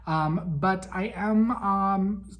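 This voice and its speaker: A man hosting a casual monthly podcast recap, raspy and weak in breath from a head cold, chatty with frequent ums. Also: high voice, very slow, a bit bright. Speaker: podcast host.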